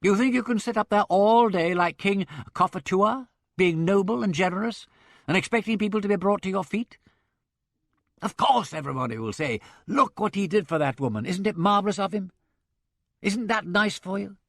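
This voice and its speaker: A man's voice: high-pitched, lively intonation, normal speed, dry recording, clean studio sound, voice pushed up. A man voicing a bitter, proud young woman who speaks in a cutting, resentful way. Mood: scornful.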